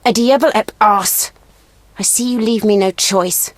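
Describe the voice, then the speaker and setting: An actress playing a proud, serious elven huntress in a video game, speaking formally and gravely. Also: female voice, mid-range voice, fairly even intonation, measured pace, no room sound, lightly acted. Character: proud, serious elven woman, a wounded monster hunter.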